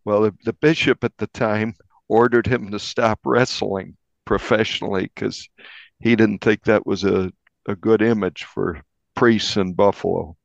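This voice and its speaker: A mature man with an American accent, a reporter recounting a story conversationally in a measured, slightly halting way. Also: lowish voice, steady tone, gravelly, no echo. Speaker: newspaper reporter interviewed. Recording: dull, slightly lo-fi, dry.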